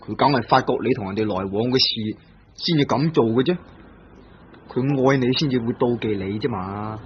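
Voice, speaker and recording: A man's voice: medium pitch, normal speed, close to an everyday voice. A man playing a breezy young bachelor clerk. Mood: earnest. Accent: Hong Kong Cantonese. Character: young unmarried male government clerk, carefree and sensible. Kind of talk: 1960s Hong Kong radio drama acting. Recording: dull old radio broadcast with faint hiss.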